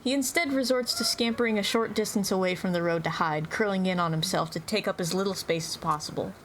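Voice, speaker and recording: A woman reads a story in a casual, dramatic way with chatty asides. Her mid-pitched voice has faint background noise behind it. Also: fast. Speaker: roleplay reader.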